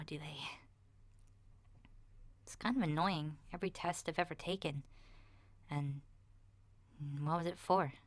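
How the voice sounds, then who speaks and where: A woman voicing an awkward ten-year-old boy in a hesitant, rambling ASMR roleplay diary, soft and breathy and often slipping toward a whisper. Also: low voice, dry recording. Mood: wry, rambling. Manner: hesitant, shy, rambling with sighs and self-corrections.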